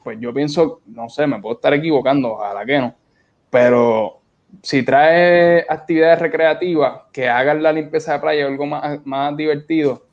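Young man, Puerto Rican accent, slightly high voice, colloquial interview talk, dull lo-fi video call. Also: fairly even intonation, normal speed. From Puerto Rico. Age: young adult. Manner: informal, colloquial. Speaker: guest, beach cleanup organizer. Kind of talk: casual interview conversation. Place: indoor room on a video call.

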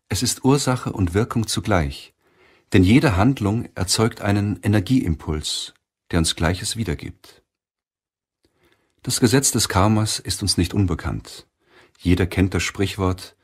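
Low-voiced, husky male audiobook narrator. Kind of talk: scripted audiobook narration of a self-help book.

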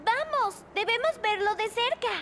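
A woman voicing a clever, self-assured little female creature who is an inventor professor, in an animated children's musical dub. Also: very high-pitched, moderate pace, background noise. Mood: eager. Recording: voice over loud music.

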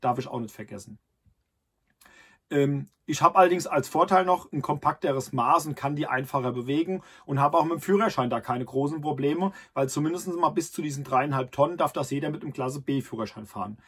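A man's voice: on the high side, a little fast, husky. A man in his thirties, host of a camping channel, talking casually to the camera from inside a camper, with many fillers and restarts.

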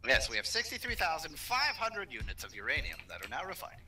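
A man's voice: fairly high pitch, wildly swooping pitch, quick pace, pushed and bright, soft background music. A man in casual gaming banter, clowning around in a cheeky, boastful and crude way. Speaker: gaming co-op guest. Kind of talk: casual banter while playing.

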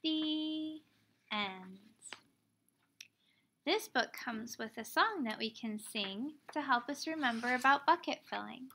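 A woman in her thirties reading a children's picture book aloud like a teacher, expressively, pausing to explain things simply for young children. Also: high-pitched, lively intonation, sharp tone, slight background noise. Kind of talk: children's storybook read-aloud.

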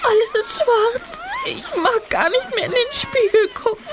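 A woman's voice: very high voice, lively intonation, moderate pace, background noise. A woman playing a weepy, pleading little girl in a children's radio play, plaintive and feeble. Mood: tearful.

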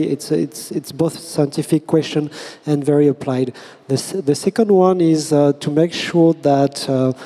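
A man's voice: fairly high pitch, warm tone. A man, speaking hesitantly on a discussion panel into a handheld mic. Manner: hesitant, many restarts and repeated words. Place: large glass-walled hall. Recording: long reverb of a large hall.

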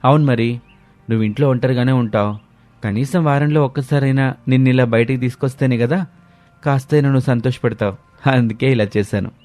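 A man's voice: medium pitch, fairly quick, faint music behind, natural voice. A man playing a caring, placating young Telugu husband and dutiful son. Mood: affectionate. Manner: gentle, placating.

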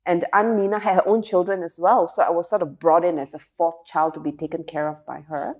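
A woman's voice: on the low side, steady tone, fairly quick, a little mellow. A woman in her forties from Singapore, a guest telling her story in a studio interview with a lapel mic, reflective and earnest, with fillers and restarts. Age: 40s. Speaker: interview guest, emotional resilience speaker. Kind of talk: candid interview conversation. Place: studio set with sofas.

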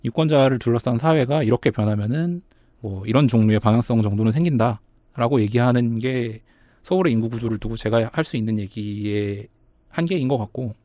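A man's voice: medium pitch, a little fast, mellow, dry recording, clean studio sound. A man appearing as a data analyst guest on a podcast, explaining carefully and hedging his claims.

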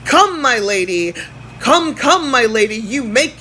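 A woman's voice: on the high side, sing-song, bright, forceful tone. Melodramatic woman with wide-swinging delivery. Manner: theatrical, melodramatic, emphatic pauses. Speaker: guest performer reciting lyrics. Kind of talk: overwrought dramatic recitation of song lyrics. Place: small indoor room at home. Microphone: phone at arm's length. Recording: clear ambient noise, phone recording.

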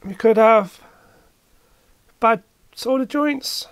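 A hobbyist repairer talks through hands-on work in a casual way. The pace is very slow, as the speaker thinks aloud between steps. The sound is dry, with little room around the voice. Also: medium pitch, smooth, no echo. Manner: thinking aloud while working. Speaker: hobbyist repairer, video presenter. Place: indoor workbench.

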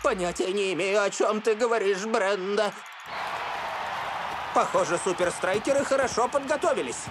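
A man's voice: high-pitched, normal speed, a crowd in the background, background noise, natural voice. A man playing a boastful, stubborn football co-commentator with showy bravado in a dubbed cartoon. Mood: cocky. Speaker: sports commentator.

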